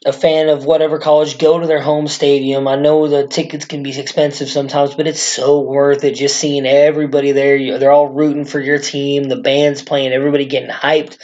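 A man with a hoarse, worn-out voice hosting a casual sports podcast, rambling excitedly as a fan. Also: mid-range voice, fairly quick, few pauses, lo-fi sound. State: hoarse from screaming. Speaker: podcast host.

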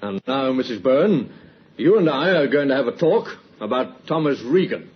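A man playing a calm, formal, quietly persistent police inspector in an old radio drama. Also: medium pitch, moderate pace, breathy edge, faint music behind. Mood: calm, probing. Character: composed, quietly persistent male Scotland Yard police inspector. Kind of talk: 1950s radio drama acting. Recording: muffled old radio recording with hiss.

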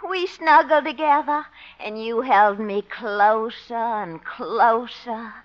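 Clinging, sugary old flame, very high girlish voice, slow gushing baby talk, muffled radio sitcom. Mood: syrupy, romantic. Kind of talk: 1950s radio situation comedy acting.

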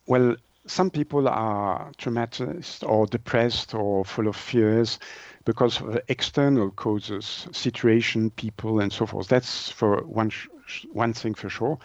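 A man's voice: mid-range voice, darkish tone, dry recording, highs cut off. A man from Switzerland, a native French speaker with a Swiss French accent, explaining things as an interview guest and often searching for words.

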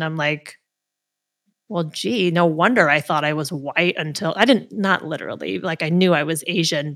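A woman with an American accent, hosting a casual conversational interview and sharing her own anecdotes. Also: low-pitched. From United States, Ohio. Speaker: interview host.

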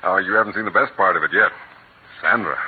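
A man's voice: low voice, fast, a little hiss or hum, natural voice. An actor playing a cheerful newlywed father in a 1940s radio drama, speaking fondly and jovially. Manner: jovial, fond. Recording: tinny old radio broadcast.

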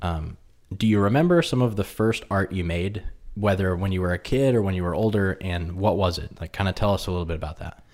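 Very low-voiced laid-back podcast host. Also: male voice, a little fast. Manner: relaxed, friendly, lots of fillers. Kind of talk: casual interview chat. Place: home kitchen.

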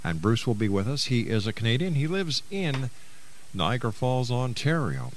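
A male radio host with a mid-pitched voice moves between interview questions and show announcements. A faint hiss sits on the broadcast recording. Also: very animated, normal speed.

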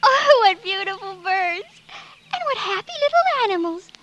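A woman's voice: extremely high pitch, very animated, normal speed, very noisy. An actress playing a sweet, timid young princess in 1940s radio style, breathless and childlike in her exclamations. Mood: sweet, delighted. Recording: vintage 1940s radio recording, orchestral music behind.

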